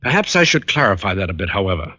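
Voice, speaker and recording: An actor playing a wealthy, determined gentleman client in an old-time radio drama, speaking in a polite, measured, proper way. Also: low voice, sing-song, exaggerated voice. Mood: grave, resolute. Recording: muffled vintage radio recording.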